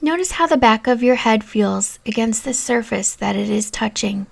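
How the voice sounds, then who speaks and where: A woman narrating a guided meditation in a calm, soothing, deliberate manner, giving relaxation instructions with pauses. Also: a little high, creaky. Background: new-age music.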